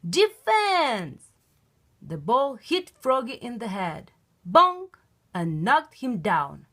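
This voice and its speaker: A woman reading a children's picture book aloud in an expressive, emphatic storytelling style. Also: fairly high pitch, slow pace.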